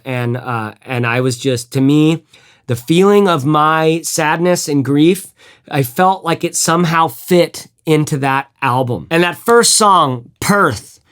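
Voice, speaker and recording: A man with a fairly high-pitched voice talks through his gear review in a relaxed, off-the-cuff way. He rambles, trips over his own restarts and drops the odd swear word. Now and then he hums a bit of music to show what he means. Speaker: headphone reviewer. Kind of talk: casual gear review chat.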